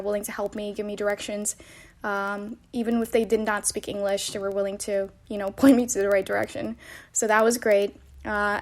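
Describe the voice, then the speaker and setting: A woman hosting a podcast, casually telling travel stories in a chatty, rambling way with frequent fillers and light laughs. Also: fairly high pitch. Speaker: podcast host. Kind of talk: casual travel storytelling monologue.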